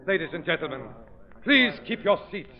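A man's voice: high-pitched, big pitch swings, normal speed. A man playing a pompous, fraudulent séance medium in 1940s radio drama, formal and theatrical. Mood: indignant. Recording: muffled vintage radio recording.

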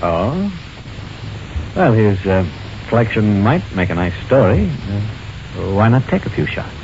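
A courteous, guarded art dealer, slightly hesitant, with lively swings in pitch. The old radio drama recording is muffled with light hiss. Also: male voice, unhurried. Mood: probing. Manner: polite, hesitant, smooth-mannered. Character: polite, guarded art dealer hiding his guilt. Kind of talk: 1940s radio acting.

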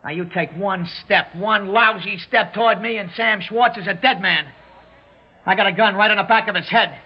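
A man's voice: high-pitched, normal speed, breathy edge. An actor playing a cocky, jumpy young gunman with tough, slangy threats in an old-time radio drama. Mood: belligerent. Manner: tough slangy street talk, barked threats. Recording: muffled old radio recording.

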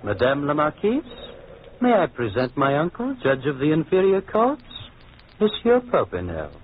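A man's voice: a little high, huge pitch swings, moderate pace. An actor in an old-time radio drama playing a polite, deferential younger man, on a muffled, noisy recording. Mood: courteous.

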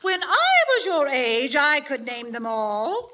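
Prim, bossy female teacher, formal and lively in pitch, dull vintage radio sound with audience. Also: takes it slowly, full character voice. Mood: stern. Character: prim bossy female schoolteacher of a rowdy class. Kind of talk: 1940s radio comedy acting. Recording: dull old radio broadcast, audience, noise.

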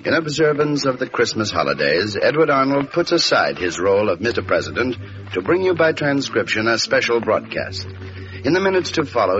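A man's voice: mid-range voice, a bit bright. A man giving a formal scripted introduction in 1940s radio announcer style. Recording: dull vintage radio transcription.

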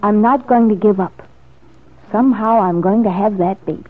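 An actress playing a determined, sharp-tongued woman in a classic radio soap opera, with a haughty, sarcastic manner. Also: mid-range voice, moderate pace, natural voice. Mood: defiant. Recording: muffled lo-fi vintage radio recording.

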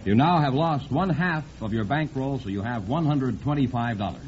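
A man's voice: mid-range voice, lively intonation, normal speed, darkish tone. A man working as the announcer on a radio quiz show. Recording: dull, hissy vintage radio broadcast.

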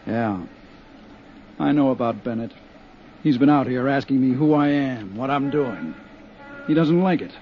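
An actor playing a steady, practical male investigator who talks in a measured, matter-of-fact way, in a muffled 1950s radio drama. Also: medium pitch, natural voice. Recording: muffled 1950s radio broadcast with faint hiss.

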